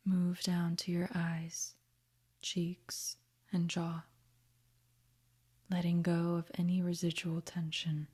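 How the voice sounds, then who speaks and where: A woman guiding a meditation in a soft, breathy, half-whispered voice, with a gentle, soothing manner. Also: lowish voice, completely flat delivery. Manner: gentle, soothing, unhurried instruction. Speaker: meditation guide. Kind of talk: guided meditation narration.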